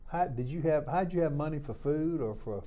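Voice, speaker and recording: A man hosts an interview, firing short, probing follow-up questions in a dark, mellow voice. The recording sounds slightly dull, with faint music underneath. His pitch sits in the middle. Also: lively intonation, a little fast. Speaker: congressman hosting the interview.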